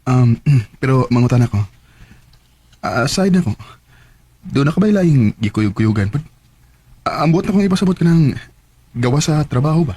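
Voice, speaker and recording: An actor playing a shy, smitten young working man with a Filipino Cebuano accent, halting and nervous, in a radio drama. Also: medium pitch, lively intonation, mellow, husky, no echo. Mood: smitten, hesitant. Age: young adult. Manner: hesitant, halting with stammered interjections. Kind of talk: Bisaya radio drama acting. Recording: radio drama with music underneath. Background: background music.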